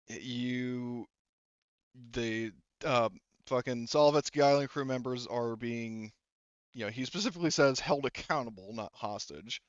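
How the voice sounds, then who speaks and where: A man runs a tabletop roleplaying game as game master. He narrates off the cuff in a halting, casual way with some swearing. His pitch is middling, and the recording is dry and slightly dull. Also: dry recording. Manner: halting, conversational, profane.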